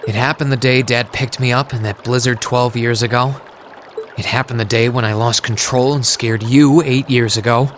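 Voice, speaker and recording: An actor voicing an earnest, self-doubting teenage student in a video game, speaking sincerely and reflectively. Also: male voice, mid-range voice, steady tone, normal speed, a little hiss or hum, close to an everyday voice. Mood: self-loathing, dejected. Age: young adult. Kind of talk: video game cutscene voice acting. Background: trickling water ambience.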